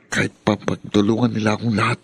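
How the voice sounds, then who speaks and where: A man's voice: on the low side, level tone, fast, gravelly, background noise. A man with a Filipino accent playing a spiteful, taunting younger brother and defiant son in a radio drama, with a sneering, melodramatic delivery. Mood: scheming, bitter.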